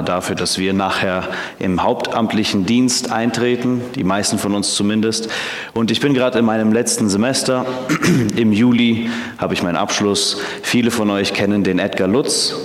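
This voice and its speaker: A man's voice: on the low side, fairly even intonation, moderate pace, slight background noise. A man in his twenties, raised in Canada with English as his first language, preaching a sermon in German with many hesitations. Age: 20s. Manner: hesitant, frequent filler sounds. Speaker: theology student preaching. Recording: echoey hall.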